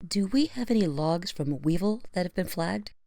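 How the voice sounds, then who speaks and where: A woman's voice: on the low side, expressive, normal speed, mellow, dry recording. A woman playing a weary, businesslike pony analyst in an audio drama, speaking in a clipped, professional way. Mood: curious. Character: female pony analyst, weary, businesslike, reviewing surveillance logs.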